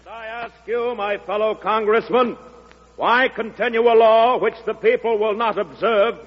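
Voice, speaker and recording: A man's voice: high-pitched, steady tone, normal speed. An actor playing a fiery congressman giving a defiant, rhetorical speech in an old-time radio drama. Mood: impassioned, defiant. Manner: declamatory, rhetorical. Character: fiery male congressman orating against tyranny. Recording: muffled old radio recording in reverberant hall.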